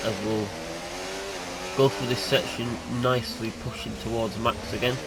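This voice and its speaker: A man's voice: medium pitch, normal speed. A young man with a nasal voice from hay fever, chatting casually while commentating his racing game. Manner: chatty, excitable. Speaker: gaming YouTuber. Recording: noisy home recording.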